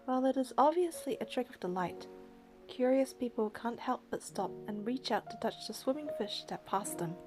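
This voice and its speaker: A woman's voice: on the high side, animated, normal speed, over music. A woman reading game narration and dialogue aloud, with casual commentary of her own.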